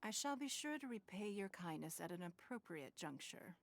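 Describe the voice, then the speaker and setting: An actress voicing a dignified, authoritative woman leader in a video game, formal and measured. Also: mid-range voice, expressive, natural voice. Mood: solemn gratitude. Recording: faint background noise.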